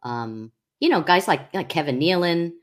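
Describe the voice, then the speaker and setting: A woman in her sixties with a deep voice, a comedian guest chatting casually, with hesitations and teasing. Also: moderate pace. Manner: relaxed, hesitant, full of ums and teasing. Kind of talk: casual interview chat.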